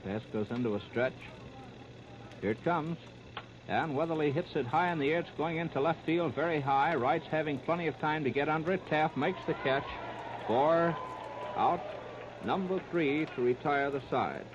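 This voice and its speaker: Male 1930s American radio baseball commentator, higher-pitched voice, clipped delivery, heavy noise on a dull old disc. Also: moderate pace. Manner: clipped present-tense running description. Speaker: baseball play-by-play announcer. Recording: muffled, very noisy 1939 radio disc.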